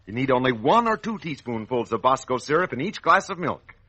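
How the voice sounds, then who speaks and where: A man's voice: medium pitch, very animated, brisk, sharp tone. A man announcing and narrating in a scripted, emphatic 1940s children's radio style. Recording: old radio broadcast recording.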